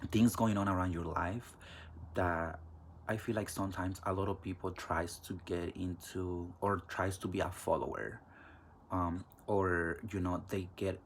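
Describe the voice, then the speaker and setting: Young Mexican-accented man, podcast host, deep and slow, rambling and profane. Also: steady tone, a little hiss or hum. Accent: Mexican Spanish. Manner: loose, profane, chatty, rambling. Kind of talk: casual solo podcast chat.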